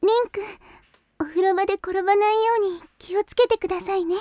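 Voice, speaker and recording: A woman's voice: very high-pitched, fairly even intonation, a little fast, dark tone, no echo. A woman playing a sweet, timid, devoted teenage girl in an anime audio drama, polite and easily flustered. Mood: caring.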